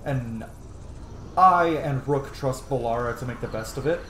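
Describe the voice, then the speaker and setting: A man's voice: medium pitch, measured pace, a bit bright, slight background noise. A man giving casual, rambling commentary as he plays a video game, musing aloud. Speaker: gaming streamer, let's-player. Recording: roomy echo, faint game music. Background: faint game music.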